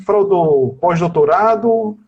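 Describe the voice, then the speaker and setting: A fairly high-voiced man with a husky, slightly breathy voice, giving an interview. He talks at length in a discursive way and keeps tagging his sentences with little questions. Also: takes it slowly, dull audio. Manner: discursive, with constant tag questions. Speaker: interviewed teacher. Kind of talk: political interview over a live stream.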